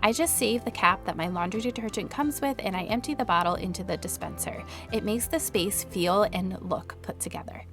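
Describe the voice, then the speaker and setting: A woman who sounds a little raspy from allergies, giving upbeat, friendly, scripted voice-over narration as a home decor vlogger. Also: medium pitch, brisk, few pauses, music in the background.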